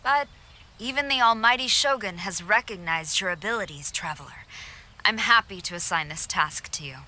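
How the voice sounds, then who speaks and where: An actress playing a stern, dutiful female army general in a video game, speaking in a formal, measured, authoritative way. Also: medium pitch, animated, pushed and bright. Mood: composed, assured.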